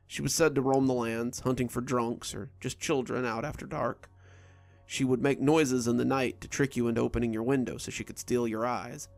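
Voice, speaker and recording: A man reading a first-person horror story aloud in a steady, low storytelling delivery. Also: vocal fry, no echo. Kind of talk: scripted horror narration.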